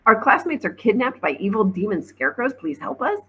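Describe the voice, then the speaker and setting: A woman voicing a sensible, level-headed schoolgirl in a storybook, steady and reasoning. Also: on the high side, fairly quick, slightly rough, voice pushed up, full character voice. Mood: sceptical.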